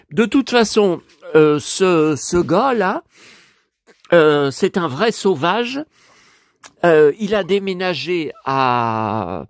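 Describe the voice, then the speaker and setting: A man's voice: fairly high pitch, no echo, studio quality. An older French man rambling to his viewers, complaining and restarting his words often. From France. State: in pain after an injury. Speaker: collector vlogger. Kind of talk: casual monologue to camera.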